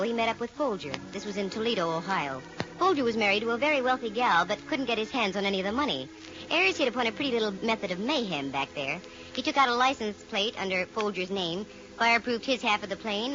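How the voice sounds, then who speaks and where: An actress playing a sharp-witted, wisecracking woman private detective in a 1940s radio drama, with a wry, slangy delivery. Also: mid-range voice, natural voice. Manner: wry, slangy, brisk storytelling. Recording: dull, noisy vintage radio recording.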